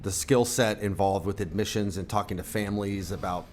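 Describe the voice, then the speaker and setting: Rambling, self-deprecating man, slightly low voice. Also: steady tone, fairly quick, a little mellow, a little hiss or hum. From United States. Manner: rambling, self-deprecating, many fillers and restarts. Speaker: guest, percussion company representative. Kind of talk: casual podcast interview.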